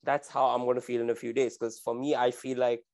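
A man's voice: mid-range voice, flat delivery, brisk, a little mellow. A man in his twenties, co-hosting a podcast in casual chat, rambling with restarts and slang. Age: 20s. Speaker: podcast co-host.

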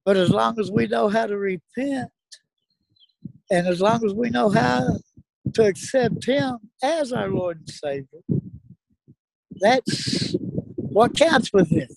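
A man's voice: extremely high pitch, slow, vocal fry, pauses often, a little mellow, dry recording, poor audio. A middle-aged man, speaking earnestly and emphatically as a guest. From South Dallas, Texas. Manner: earnest, emphatic, plain-spoken. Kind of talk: casual interview talk, preaching.

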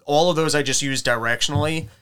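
Man, bright voice, crisp and clear, rambling casual talk, self-correcting. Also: fast talker. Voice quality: says his voice is high-pitched. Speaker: comedian recording his shows. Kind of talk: casual chat.

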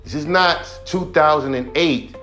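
A man in his thirties hosting his own podcast, a rapper ranting bluntly about music with heavy swearing and a voice he calls monotone. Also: a little high, a little slow. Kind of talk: profane casual rant.

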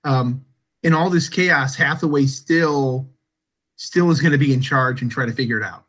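A man's voice: mid-range voice, moderate pace, a bit bright. A man hosting a podcast, interviewing guests in a hesitant way and often repeating words. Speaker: podcast host.

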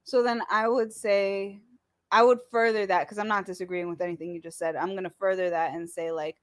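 A woman co-hosting a podcast in casual political chat, emphatic and quick to chime in. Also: mid-range voice, level tone.

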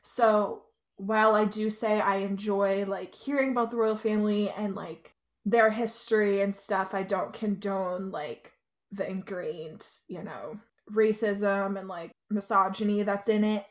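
A woman's voice: mid-range voice, steady tone, a little slow. A young adult woman co-hosting a podcast, talking casually with plenty of "like" and "you know". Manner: chatty, lots of "like" and "you know". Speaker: podcast co-host. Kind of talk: casual chat.

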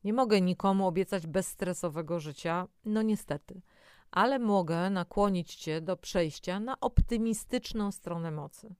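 Smooth, lower-pitched woman presenting. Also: normal speed, a little mellow, no echo, studio quality. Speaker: co-presenter of a health audio programme. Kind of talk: scripted educational narration with conversational asides.

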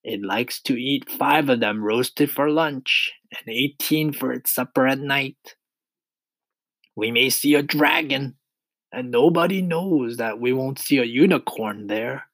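A man's voice: mid-range voice, slow, a bit bright, no echo, voice pushed up, poor audio. A man playing a boastful, exuberant centipede, declaiming mischievous sing-song rhymes in a children's storybook reading. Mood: mischievous, playful.

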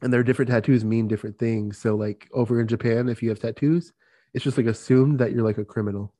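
A man's voice: medium pitch, steady tone, fast, dark tone. A man co-hosting a podcast, talking casually in a rambling way, laughing often and filling with "like". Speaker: podcast co-host. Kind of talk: casual chat.

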